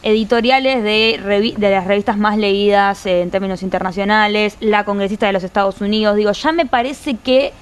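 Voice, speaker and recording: A woman's voice: mid-range voice, fairly even intonation, brisk, hardly any pauses, clear, resonant tone. A woman with an Argentine accent arguing her point at length as a panelist on a political talk show. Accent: Argentine Spanish. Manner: fluent, argumentative, long sentences.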